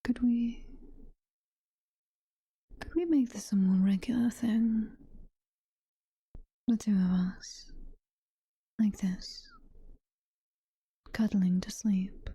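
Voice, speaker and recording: A woman's voice: medium pitch, exaggerated voice. Woman acting a whispering, doting British demon girlfriend. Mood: sleepy, affectionate. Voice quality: breathy, soft-spoken whisper. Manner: slow, tender, coaxing. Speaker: ASMR roleplay performer. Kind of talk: whispered ASMR roleplay. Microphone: close ASMR microphone.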